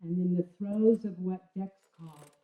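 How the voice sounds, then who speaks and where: A woman reading a literary memoir on stage in an expressive, measured storytelling manner, with deliberate dramatic pauses. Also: fairly low pitch. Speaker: reader of a literary memoir at a live storytelling event. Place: indoor stage venue. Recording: echoey room, dull lo-fi sound.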